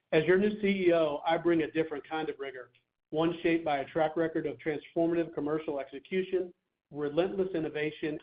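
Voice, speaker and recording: A man speaking as chief executive, giving formal, measured scripted remarks on an earnings call. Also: on the high side. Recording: thin phone-line conference audio.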